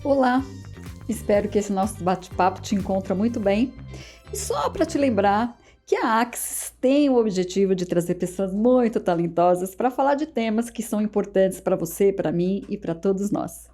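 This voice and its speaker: A woman's voice: medium pitch, expressive, normal speed, mellow, soft background music. A woman with a Brazilian accent hosting a casual conversational podcast interview in an effusive, chatty way. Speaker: podcast host.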